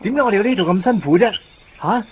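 A man's voice: sits high, animated, fast, slight background noise. Brooding young Hong Kong man, Cantonese. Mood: exasperated. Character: brooding young man torn between love and resentment. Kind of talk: Cantonese radio drama dialogue and monologue. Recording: dull 1990s radio broadcast, faint music.

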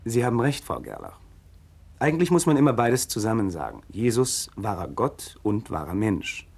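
An actor playing a learned religion teacher in a radio play, explaining things patiently in a measured, didactic manner. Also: male voice, lowish voice, very animated, creaky, darkish tone, husky, exaggerated voice. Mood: explanatory.

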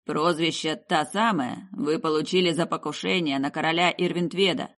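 A woman voicing a gruff, suspicious male military commander in an audiobook, curt and grumbling. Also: deep voice, fairly even intonation, moderate pace, a bit bright. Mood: grumbling, accusing.

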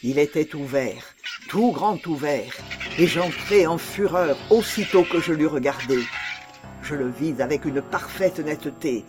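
Male narrator as obsessed madman confessing murder, audiobook drama. Slow, theatrical delivery, slightly high voice. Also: animated. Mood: tense, insistent. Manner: deliberate, halting, theatrical, with insistent repetitions. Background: music under the voice.

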